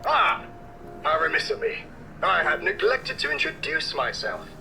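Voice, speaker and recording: A man's voice: high voice, huge pitch swings, measured pace, gravelly, sharp tone, faint music behind, full character voice. A man playing an ancient, gallant robot knight captain in a video game, with pompous, archaic, theatrical speech. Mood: proud, courtly.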